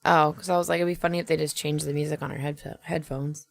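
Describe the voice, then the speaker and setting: Gravelly, creaky voice, blunt and playful. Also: mid-range voice, little pitch movement, fast, dry recording. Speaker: podcast co-host. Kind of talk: casual comic brainstorming of a made-up slasher story.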